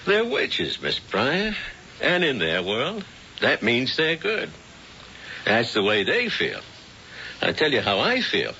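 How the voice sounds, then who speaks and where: An actor playing a blunt, reassuring police detective in a 1970s radio drama. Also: male voice, medium pitch, huge pitch swings, slow, creaky, pauses often, pushed and bright, slight background noise, heavily acted. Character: calm male police detective. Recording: dull old radio broadcast.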